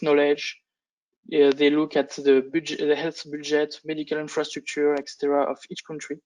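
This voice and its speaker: A man who speaks English as a second language gives a business presentation on a conference call, with halting delivery and frequent false starts. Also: a little high, poor audio. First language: not English. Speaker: fund analyst presenting on a panel.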